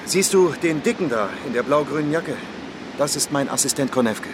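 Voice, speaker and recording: A man's voice: a little high, expressive, moderate pace, background noise, natural voice. An actor playing a businesslike male police chief running a stakeout in a radio crime drama.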